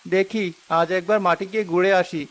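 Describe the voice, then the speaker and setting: A man's voice: high-pitched, steady tone, a little fast, a bit bright. A man voicing a poor, worried village husband in a cartoon, grumbling and resigned. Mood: glum.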